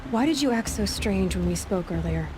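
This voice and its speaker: A woman's voice: medium pitch, expressive, normal speed, natural voice. Woman acting a blunt young outcast, noisy background. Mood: probing. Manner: direct, probing. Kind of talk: acted video game dialogue. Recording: clearly noisy, ambient background.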